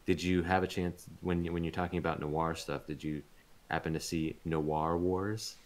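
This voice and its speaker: A man's voice: deep voice, level tone, moderate pace. A man talking casually and informally as an interview guest. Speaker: interview guest, voice actor and audio drama producer.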